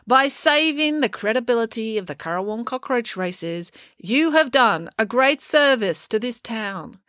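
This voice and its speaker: Storybook small-town mayor, played by a woman: self-important, droning on, raised pitch, dry sound. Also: animated, measured pace, fairly bright tone, dry recording. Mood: pompous droning. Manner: pompous, droning speechmaking. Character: droning, long-winded, pompous female small-town mayor.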